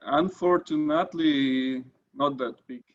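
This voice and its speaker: A man's voice: on the high side, slow pace, dull audio. Hesitant man on a video call. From northern Italy, German-speaking minority. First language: German. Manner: hesitant, many uh fillers and restarts. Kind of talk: casual video call chat.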